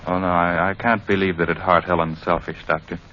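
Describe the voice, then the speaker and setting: An actor playing an earnest, frustrated husband who longs for a family, insistent and dramatic in old-time radio style. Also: male voice, low voice, flat delivery, fairly quick, pushed and bright, slight background noise. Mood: troubled. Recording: dull vintage radio recording with music.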